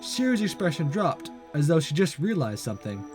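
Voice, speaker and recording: Animated, higher-pitched man reading aloud over music. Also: brisk. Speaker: let's-play host reading a visual novel aloud. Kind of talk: casual read-aloud narration with asides. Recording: music behind the voice.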